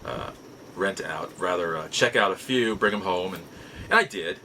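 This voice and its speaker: Middle aged guy rambling thru a personal story, folksy, bright forceful voice, some noise behind him. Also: deep voice, lively intonation, normal speed. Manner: folksy, rambling, repeats and rephrases himself. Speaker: host of a nostalgia show. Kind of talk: casual personal storytelling to camera.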